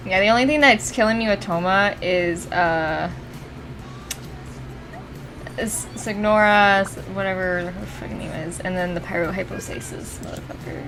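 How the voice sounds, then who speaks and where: A woman's voice: mid-range voice, moderate pace, a bit bright. A woman game streamer chatting casually and swearing often as she plays. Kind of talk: casual chat while streaming a video game. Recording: music in the background. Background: music.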